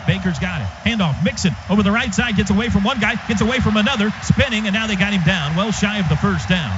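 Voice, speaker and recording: A man's voice: high voice, fast talker. A man calling football live on radio as play-by-play announcer, in clipped, urgent phrases, from a stadium booth. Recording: dull radio feed over stadium noise. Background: stadium ambience.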